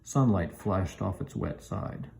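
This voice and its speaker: A man reading a children's storybook aloud in an animated narrating style. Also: sits low, slow pace, gravelly. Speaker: elementary teacher reading aloud.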